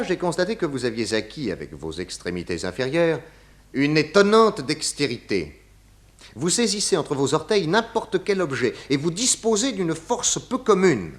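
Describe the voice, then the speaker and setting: A man playing a shrewd, assured detective in a 1960s French radio play, speaking formally with deliberate enunciation. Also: mid-range voice. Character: shrewd, assured male detective laying out his case.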